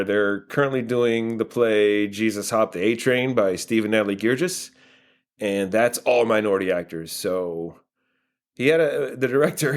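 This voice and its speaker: An American man answering interview questions casually, in a halting way as he thinks aloud. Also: lowish voice. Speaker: guest playwright and filmmaker.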